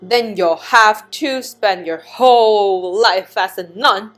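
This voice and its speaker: A woman playing a measured, deliberate male duke in an amateur radio drama, with some hesitation. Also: mid-range voice, fairly bright tone, faint music behind. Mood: measured, judging. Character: calm male duke, ruler and judge, measured.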